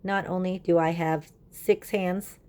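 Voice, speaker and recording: A woman's voice: lowish voice, steady tone, measured pace, darkish tone, voice pushed up, lightly acted. A woman voicing a friendly green alien in a children's storybook, formal and earnest, with stilted speech. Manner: stilted speech naming himself in third person.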